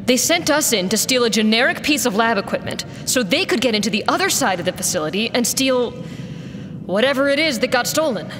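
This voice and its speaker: A woman's voice: expressive, fairly quick, lightly acted. An actress playing a tough, sardonic female mercenary in an audio drama, dry and assertive. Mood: dismayed realisation. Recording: long reverb, faint rumble behind.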